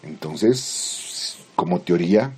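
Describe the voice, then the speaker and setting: Deep, husky man giving a lecture. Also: expressive, a little mellow. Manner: didactic, halting phrasing with check-in questions. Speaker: psychology lecturer. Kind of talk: informal lecture, explaining theory with everyday examples.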